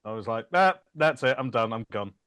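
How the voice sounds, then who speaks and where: A man's voice: mid-range voice, lively intonation, a little fast, a bit bright, clean tone, no room sound, clean studio sound. A man co-hosting a casual podcast chat, making jokes and rambling with enthusiasm. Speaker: podcast co-host.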